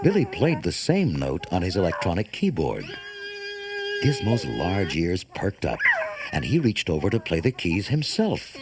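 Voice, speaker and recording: A man narrating a children's storybook in a dramatic, expressive way. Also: deep voice, a little slow, very noisy. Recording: cassette transfer with loud music behind. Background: loud music.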